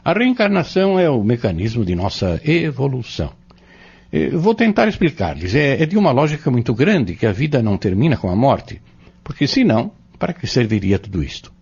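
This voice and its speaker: A man playing a patient, earnest Spiritist teacher in an audio drama, explaining step by step in a measured, didactic way. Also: mid-range voice, very animated, darkish tone, slightly rough, exaggerated voice. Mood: earnest, probing. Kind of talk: scripted audio drama dialogue. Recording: dull lo-fi audio.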